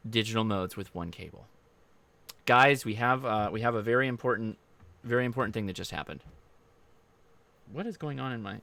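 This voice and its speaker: A man's voice: medium pitch, sharp tone, clear, resonant tone. An American man hosting a casual livestream, explaining in an informal, rambling, enthusiastic way as he answers viewer comments. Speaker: host of a ham radio channel.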